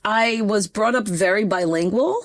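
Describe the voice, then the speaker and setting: A woman in her forties with an American accent, telling her story as a guest in a casual interview, animated and quick with a laugh. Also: mid-range voice, no echo, studio quality. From United States (New Jersey). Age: 40s. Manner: animated, chatty, laughs often, quick asides. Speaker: podcast guest.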